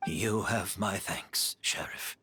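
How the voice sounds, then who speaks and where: An actor playing a frail, remorseful perfumer in a game, calm and earnest. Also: male voice, deep voice, slow pace, in a whisper, heavily acted. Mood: resigned.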